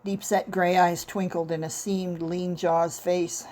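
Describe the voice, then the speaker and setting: A woman's voice: fairly low pitch, level tone, measured pace, darkish tone. A woman author reading her own short fiction as scripted story narration.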